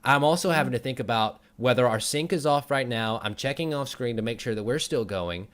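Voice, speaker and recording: A man hosting a casual talk show, chatty and quick to chime in while he interviews. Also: medium pitch, expressive, fairly bright tone.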